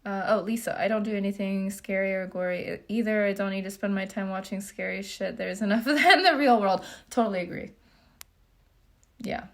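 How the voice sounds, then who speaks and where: A young woman from Japan hosts a relaxed livestream Q&A at home, doing her makeup. Her voice sits at a medium pitch, and she talks in a loose, chatty way, reading viewers' comments aloud and padding her answers with plenty of "um" and "like.". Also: a little fast. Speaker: beauty vlogger.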